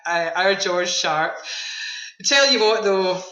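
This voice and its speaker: A woman talks as an interview guest, enthusiastic and conversational. Her voice is bright and crisp-edged at a middling pitch. The recording is roomy, with clear echo. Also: lively intonation, slow, dull audio. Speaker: veterans' activity centre manager, interview guest. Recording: roomy, echoing sound.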